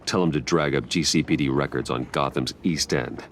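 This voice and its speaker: A male actor playing a composed, guarded wealthy man in a video game, measured and controlled. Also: rumbling low, a little hiss or hum. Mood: businesslike.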